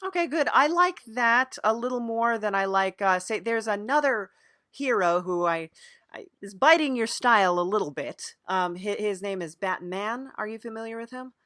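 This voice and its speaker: A woman's voice: medium pitch, animated, normal speed, pushed and bright, dry recording, studio quality. A woman hosting an improvised mock interview, agreeable and a little hesitant, playing it straight in casual conversation. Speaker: podcast interviewer.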